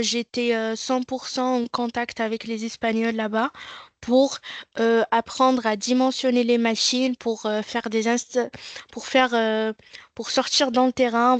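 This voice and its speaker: A woman from Morocco, whose first language is not French, shares her exchange experience on a video call. She talks haltingly, full of fillers and restarts, in a rather high and flat voice. The sound is dry and close. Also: no room sound. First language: Moroccan language; French second. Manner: hesitant, many fillers and restarts. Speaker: apprentice engineer sharing an exchange experience. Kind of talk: webinar testimony.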